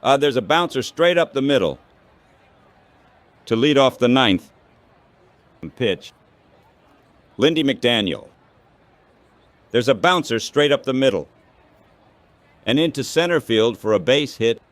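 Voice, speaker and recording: Slow-paced synthetic male baseball announcer. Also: mid-range voice, lively intonation, no room sound. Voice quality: synthetic text-to-speech voice. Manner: short clipped phrases. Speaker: baseball play-by-play commentator. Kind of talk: radio-style baseball commentary.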